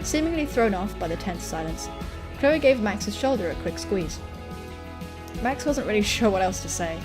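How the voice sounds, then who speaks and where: A woman hosting a gaming video, reading a visual novel aloud and adding casual, irreverent, expressive commentary. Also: mid-range voice, moderate pace. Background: game music.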